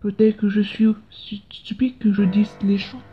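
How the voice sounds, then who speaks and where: A woman's voice: mid-range voice, fairly even intonation, moderate pace, close to an everyday voice. A woman voicing an earnest, curious scientist in a comic dub, explaining things in a reflective way. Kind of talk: dubbed comic narration and dialogue. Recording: dull lo-fi sound with music behind.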